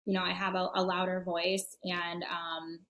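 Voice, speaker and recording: A woman's voice: fairly low pitch, flat delivery, normal speed, fairly bright tone, slight background noise. A woman guesting on a real estate podcast, talking casually with fillers and frequent laughs. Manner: chatty, many fillers, laughs often.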